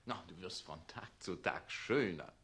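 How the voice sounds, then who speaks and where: An actor playing a smooth, falsely friendly scheming official in a radio play, coaxing in an oily, theatrical way. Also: male voice, low voice, expressive, unhurried, fairly bright tone, full character voice.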